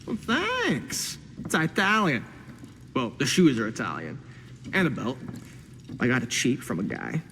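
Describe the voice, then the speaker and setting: An actor playing a flamboyant, cheeky schemer in a video game, theatrical, teasing and smug. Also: male voice, mid-range voice, wildly swooping pitch, sharp tone, full character voice. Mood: pleased, cheeky. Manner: theatrical, teasing, drawn-out exclamations. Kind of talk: expressive video game acting. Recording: long hall reverb, faint background noise.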